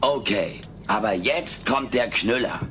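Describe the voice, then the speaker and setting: An actor playing a brash, scheming furry alien houseguest in a sitcom audio drama, glib and theatrical. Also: male voice, lowish voice, unhurried, fairly bright tone. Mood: mock-innocent. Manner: glib, theatrical, mock-innocent. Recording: noisy old cassette audio drama.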